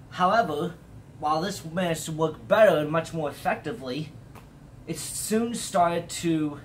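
A man's voice: medium pitch, fairly bright tone, a little hiss or hum. An American man in his late twenties presenting a personal project vlog in an earnest way. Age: late 20s. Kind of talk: vlog, part scripted narration, part casual presenting to camera.

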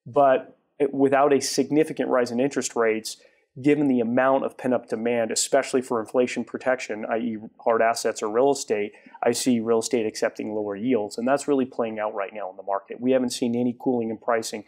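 A man in his forties, a guest in a studio interview, explaining at length with frequent restarts, on a lapel mic in a TV studio. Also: medium pitch. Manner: halting restarts, then steady explanation. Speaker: guest, retail property developer. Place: TV studio set.

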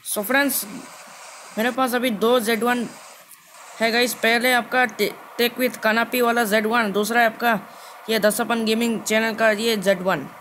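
A man's voice: extremely high pitch, level tone, a little slow, bright, forceful tone. A man hosting a gaming channel with casual gameplay commentary, repeating and restarting phrases as he addresses viewers. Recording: noisy, vehicle engine sound behind voice.

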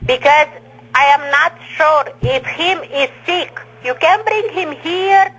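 Very high-voiced woman with a foreign accent. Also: fairly bright tone, a little hiss or hum, poor audio. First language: not English. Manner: halting, simple non-native phrasing. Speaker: worker at a home for old people. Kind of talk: phone conversation, giving advice.

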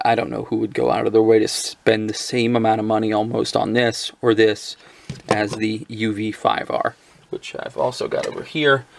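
Low-pitched man with creaky voice. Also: no echo. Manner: hesitant, halting, conversational. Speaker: product reviewer. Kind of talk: casual off-the-cuff gadget overview. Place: indoors at a tabletop.

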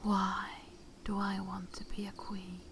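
A woman playing a seductive, vengeful vampire mistress in an ASMR roleplay, cruel yet loving, speaking in a breathy, often whispered voice with theatrical pauses. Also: medium pitch, flat delivery, a bit bright, a little hiss or hum. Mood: ambitious, brooding. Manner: slow, theatrical, with long pauses.

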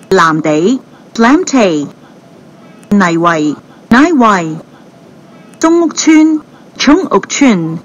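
Slow, high-pitched woman making station announcements. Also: husky, dull audio. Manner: formal, clipped delivery of isolated phrases. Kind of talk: scripted bilingual station announcements.

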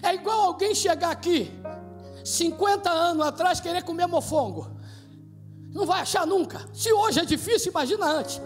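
A pastor in his fifties preaching with a Brazilian Portuguese accent, his voice sitting very high for a man. He acts out his story animatedly, shouting the characters' lines. His handheld mic rings in a long church reverb. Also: moderate pace. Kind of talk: sermon with live interpretation. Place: church stage with projected screen. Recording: long church hall reverb, faint music.

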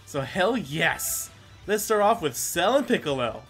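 A man's voice: on the high side, very animated, unhurried, a bit bright, faint music behind. A man giving casual, rambling gameplay commentary as the host, self-deprecating and given to mild swearing. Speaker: gaming video host.

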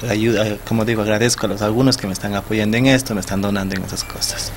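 A young man with an Ecuadorian Spanish accent answering questions in a small TV studio, talking haltingly with many restarts and repeated words. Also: on the low side, steady tone, a little hiss or hum. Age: young adult. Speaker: festival sponsor guest. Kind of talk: studio interview. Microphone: desk mic on stand.

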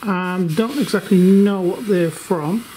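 An older man talks through a relaxed shop update in his home workshop. His speech is unhurried and meandering, full of fillers and restarts. A faint background hum sits under the camera-mic sound. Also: high voice, low-quality recording. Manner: slow, rambling, many fillers. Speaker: workshop video maker.

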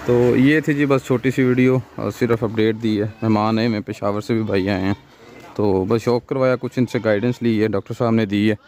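A man's voice: on the low side, fairly even intonation, brisk, darkish tone, a little hiss or hum. A young man hosting a farm vlog outdoors, talking in an easygoing, affirming way. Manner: agreeable, affirming, short responses. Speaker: vlog host, goat farmer. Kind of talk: casual vlog. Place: outdoor farmyard.

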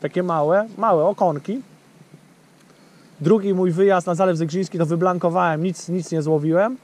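A man's voice: high-pitched, fairly even intonation, moderate pace, a little mellow. Chatty man on a fishing boat. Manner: chatty, addresses viewers directly, many fillers. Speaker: fishing channel host. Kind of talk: casual outdoor fishing vlog chat. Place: small boat on a lake outdoors. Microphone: action camera on the boat.

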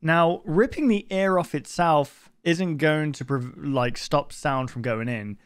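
A man's voice: on the high side, slow, clear, resonant tone, dry recording. A man in his twenties giving casual reaction commentary into a close desk microphone indoors. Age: 20s. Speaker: reaction video host. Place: bedroom streaming setup indoors.

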